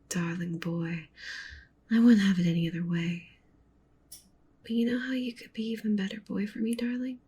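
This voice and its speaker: Woman voicing yandere wolf-witch girlfriend, clingy and tender, whispered ASMR, lowish creaky murmur, faint noise behind. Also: a little mellow. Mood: doting, coaxing. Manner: intimate, tender, clingy, coaxing. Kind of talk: ASMR roleplay, soft whispering.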